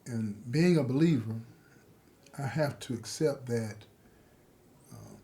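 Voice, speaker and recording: A man giving a reflective personal testimony, speaking in deliberate, halting phrases with pauses. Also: mid-range voice, expressive, creaky, mellow. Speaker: prisoner telling his own story.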